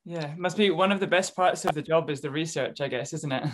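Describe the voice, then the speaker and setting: A woman interviewer on a video call, asking short low-key questions. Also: fast. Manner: brief, low-key prompts and acknowledgements.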